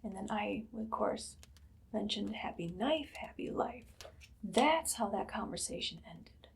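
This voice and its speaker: A woman playing an imperious, idly cruel evil queen in an ASMR roleplay, soft-spoken and often whispering in a languid, condescending way. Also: medium pitch, expressive, unhurried, vocal fry, a little hiss or hum. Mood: menacing amusement. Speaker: ASMR performer.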